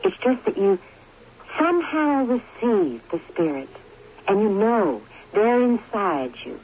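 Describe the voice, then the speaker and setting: An actress playing a serene, mystical young woman in a radio drama, speaking in a deliberate, entreating manner. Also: medium pitch, big pitch swings, a little mellow. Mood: serene, persuasive. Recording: muffled, noisy old radio recording.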